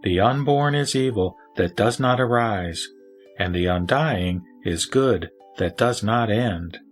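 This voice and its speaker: A man's voice: lowish voice, takes it slowly, faint music behind. A man voicing a wise lay teacher who answers solemnly and formally in a scripture audio drama. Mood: solemn teaching. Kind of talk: audio drama sutra recitation.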